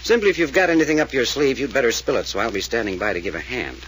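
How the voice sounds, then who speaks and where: An actor playing a commanding, practical amateur detective in an old-time radio mystery serial, clipped, businesslike and insistent. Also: male voice, medium pitch, lively intonation, racing, a bit bright, a little hiss or hum, lightly acted, poor audio. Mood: pressing, firm.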